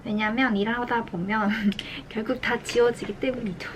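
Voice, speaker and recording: A young adult woman from South Korea chats casually as a lifestyle vlogger during her daily routine, soft-spoken and unhurried. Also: fairly high pitch, steady tone. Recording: clear background room noise.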